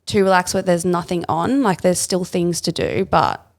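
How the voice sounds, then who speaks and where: A young Australian woman, a guest on a casual podcast, chatting in a relaxed way with lots of "like" fillers. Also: on the low side, normal speed, vocal fry.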